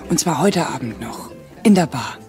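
An actress playing a shrewd, ambitious, critical wife in a radio play, pointed and insistent. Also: female voice, low-pitched, lively intonation, normal speed. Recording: music behind the voice.

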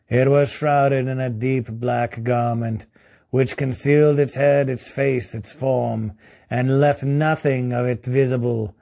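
A man with a Southern US accent narrates a classic story. His pace is slow and deliberate. The voice is mellow and sits at a middling pitch. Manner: deliberate, measured. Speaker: story reader. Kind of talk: storybook narration, read aloud.

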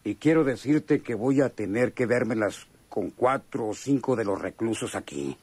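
A man's voice: mid-range voice, lively intonation, moderate pace, darkish tone, breathy edge, no room sound. A Mexican man acting a chummy, sly, hardened convict in a radio drama, talking in slangy, hesitant phrases. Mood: chummy, curious. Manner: slangy, hesitant drawl with knowing chuckles.

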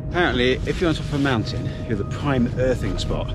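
A man's voice: mid-range voice, expressive, fast talker, over loud music. A middle-aged man, chatting casually to camera and his dog while hiking a mountain ridge outdoors. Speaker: hiking vlogger. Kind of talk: casual outdoor vlog commentary. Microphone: action camera on a pole.

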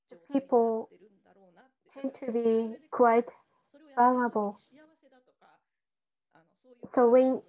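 A woman interpreting on a video call, her voice pitched a little high and carried through muffled, dull call audio. She speaks slowly and haltingly, filling gaps with fillers and restarts as she relays each phrase. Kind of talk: simultaneous interpretation on an online call.

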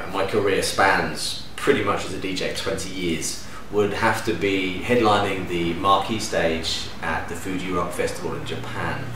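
A man in his thirties from Denmark, a DJ and producer, answering interview questions to camera in a relaxed, enthusiastic way with lively hand gestures. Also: sits low. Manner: relaxed, enthusiastic, gestures with hands while talking. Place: living room at home, indoors. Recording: echoey room, distant mic, faint hum.

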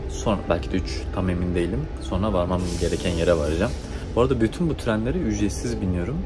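A young man talks quickly and enthusiastically in a casual, slangy travel vlog. He holds the phone at arm's length on the move, with clear music and some vehicle noise behind him. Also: deep voice. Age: young adult. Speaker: travel vlogger. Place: outdoors in villages and inside trains.

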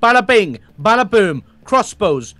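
Lively young man playing a role. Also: sits high, a little slow, no room sound. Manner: excitable, playful banter. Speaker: roleplay player. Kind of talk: improvised Minecraft roleplay.

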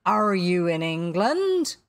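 A woman's voice: on the low side, sing-song, slow pace, exaggerated voice. A woman voicing a proud, brooding male pirate captain in a storybook, commanding and theatrical, drawing out exclamations. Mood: questioning.

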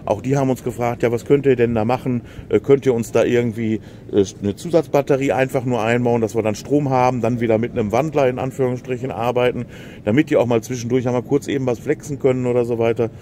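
A man's voice: mid-range voice, fairly even intonation, quick pace, warm tone. Chatty man at an outdoor fair. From Germany. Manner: chatty, keeps adding "ne?". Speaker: vlogger interviewing an exhibitor. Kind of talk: casual outdoor chat at a trade fair. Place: outdoor stand at a caravan fair. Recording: outdoor recording with clear background noise.

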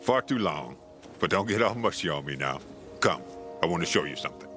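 A man's voice: sits low, lively intonation, brisk, fairly bright tone, faint music behind. An actor playing a seasoned, cocky middle-aged pilgrim in a video game, teasing his friend in a casual, friendly way. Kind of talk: video game dialogue acting.

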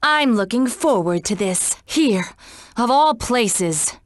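Game role: proud young female cat knight, high voice, formal and stern, widely swinging intonation, very dry sound. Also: lively intonation, takes it slowly, dry recording. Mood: stern, disdainful. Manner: formal, archaic, haughty diction. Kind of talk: video game character voice clips.

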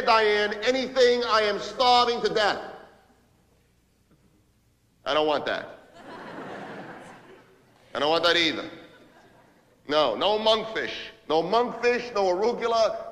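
A man's voice: sits high, bright, forceful tone. An actor playing an abrasive, self-important New businessman, ranting impatiently in a theatrical comic monologue of phone calls. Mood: barking, impatient. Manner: impatient, ranting, barking orders and repeating himself. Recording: reverberant hall.

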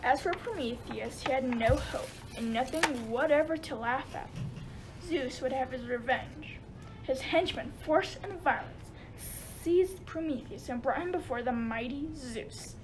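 A woman's voice: high voice, measured pace, a crowd in the background, low-quality recording. A woman playing the storyteller of a comic Greek myth, reading scripted narration in a radio play. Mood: storytelling, dramatic. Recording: noticeable background noise.

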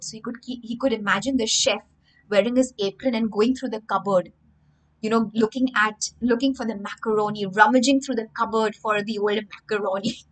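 An animated young woman with an Indian English accent tells a funny story to children, pausing to explain words in plain terms. Her voice sits a little high for a woman, and her delivery stays lively and expressive. She speaks to a webcam from a room full of bookshelves. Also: rough recording. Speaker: storytelling teacher.

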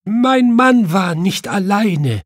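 A man's voice: high voice, very animated, no echo, studio quality, voice pushed up, heavily acted. A man voicing a grieving middle-aged widow with a brittle, tearful voice in an audiobook. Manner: halting, sobbing.

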